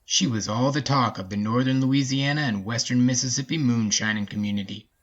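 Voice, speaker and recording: Husky male storyteller, slightly muffled. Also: mid-range voice, brisk. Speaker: narrator. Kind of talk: folksy scripted story narration.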